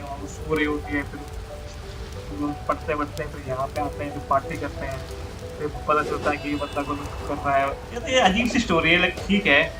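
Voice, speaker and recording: A man's voice: a little high, rough recording. A man with an Indian accent chatting casually as a game streamer, mixing Hindi and English. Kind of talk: casual livestream chat in Hindi-English mix.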